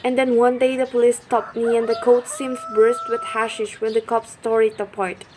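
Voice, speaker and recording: A woman reads a long account in a plain, recounting way. Her voice is fairly high, and faint ambient noise, including an occasional rooster, sits behind it. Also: flat delivery, moderate pace, a little mellow. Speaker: student voice actor. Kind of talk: amateur radio drama acting.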